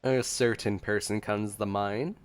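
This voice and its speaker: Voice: medium pitch, steady tone, unhurried. A gameplay streamer giving casual, playful reaction commentary. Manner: playful, giggly, echoes words. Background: game music.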